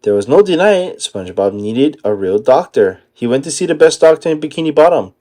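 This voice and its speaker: A man narrating a children's picture book aloud in an expressive storytelling style. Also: a little high, brisk.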